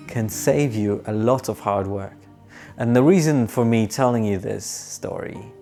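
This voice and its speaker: Slow, deliberate man teaching English. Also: mid-range voice, darkish tone. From moved to Australia as a teenager. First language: not English. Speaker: aviation English tutor. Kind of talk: scripted video lesson with storytelling. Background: faint guitar music.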